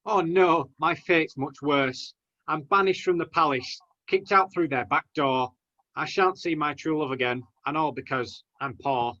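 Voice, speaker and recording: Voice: medium pitch, measured pace, sharp tone, muffled recording. A performer playing a poor, lovesick and timid lad, the hero of a rhyming pantomime, speaking earnest, plaintive verse. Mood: self-pitying.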